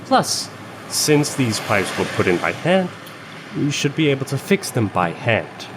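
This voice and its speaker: A man acting a firm, by-the-book repairman in an audio drama. The voice sits at medium pitch with lively swings, and machinery noise hums clearly behind it. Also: a little slow. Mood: firm, lecturing. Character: by-the-book, instructing pipe repair worker.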